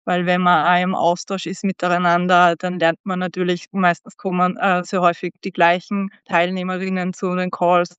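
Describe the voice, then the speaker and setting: A woman from Styria in Austria, a club member talking as a guest, calm and agreeable with many affirming words. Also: on the low side, normal speed, hardly any pauses, clean tone, no echo, muffled recording. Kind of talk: casual conversational interview.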